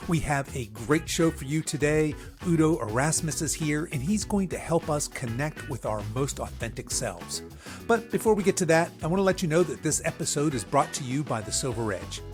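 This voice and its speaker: A man hosting a podcast, delivering a polished scripted introduction and speaking directly to listeners. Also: a little high. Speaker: podcast host.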